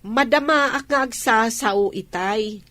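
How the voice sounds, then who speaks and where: A woman's voice: on the high side, measured pace, sharp tone, no echo. A woman with an Ilocano accent acting as a middle-aged provincial housewife and attentive wife in a radio drama. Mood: questioning, uneasy.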